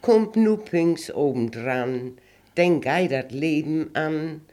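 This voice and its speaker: An older woman with a Low German accent slowly reciting rhymed dialect poems in a measured, sing-song way. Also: lively intonation, dark tone. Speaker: interviewee reciting dialect verse.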